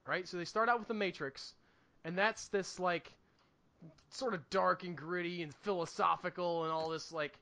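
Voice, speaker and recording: Male podcast co-host, high and bright voice, casual film chat, earnest rambling delivery. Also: fairly even intonation, normal speed, vocal fry. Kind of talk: casual movie review chat.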